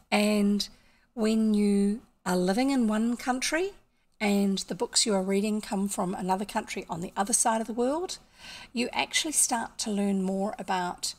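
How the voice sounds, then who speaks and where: Gravelly older New Zealand woman chatting. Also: mid-range voice. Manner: rambling, explanatory, with frequent asides and restarts. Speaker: streamer. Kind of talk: casual storytelling chat. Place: small home room, indoors.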